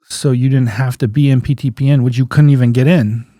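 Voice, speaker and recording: Guy in his 50s chatting in an interview, relaxed mellow voice, folksy stories, really dry recording. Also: mid-range voice, brisk, dry recording. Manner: anecdotal, acts out remembered dialogue, folksy plain-spoken phrasing. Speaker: guest, physical therapist and clinic owner. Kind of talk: casual interview storytelling.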